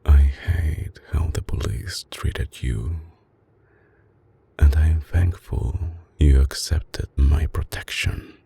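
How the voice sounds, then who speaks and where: A man playing a tender, possessive mafia boss with an Italian accent in an intimate, soft-spoken ASMR roleplay, his voice deep, breathy and husky. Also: heavily acted. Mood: protective, intimate. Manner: slow, hushed, coaxing, with dramatic pauses. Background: music.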